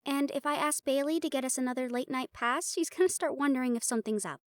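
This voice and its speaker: A woman's voice: high-pitched, fast, no room sound, clean studio sound, natural voice. A woman playing a playful, sarcastic and tired young team member in a fantasy audio drama, talking casually. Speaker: voice actor in an audio roleplay. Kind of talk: fantasy audio drama roleplay, conversational acting.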